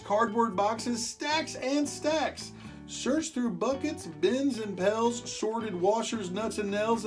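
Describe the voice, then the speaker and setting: A storyteller reading a rhyming picture book aloud to children in an expressive way, stretching words for emphasis and chatting with young listeners. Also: mid-range voice. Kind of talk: animated children's read-aloud of rhyming verse.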